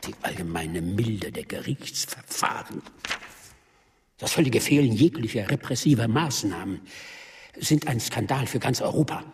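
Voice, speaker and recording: Fussy, swooping-voiced embassy bureaucrat. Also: male voice, medium pitch, lively intonation, slightly rough. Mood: pedantic disapproval. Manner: formal, pedantic, measured phrasing. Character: fussy male embassy privy councillor, weary, disapproving bureaucrat. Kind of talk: radio drama acting.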